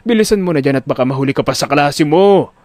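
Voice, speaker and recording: A man playing a hearty, concerned father with a brisk manner in an audio drama. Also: a little high, expressive, darkish tone, close to an everyday voice. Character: hearty, concerned father, a working-class driver.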